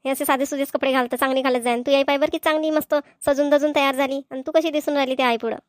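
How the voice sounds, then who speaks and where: A woman's voice: high voice, steady tone, very fast, no echo, clean studio sound, natural voice. A woman voicing a gossipy, impatient village housewife in a cartoon comedy, with a Vidarbha Marathi accent. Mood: gossipy, nosy.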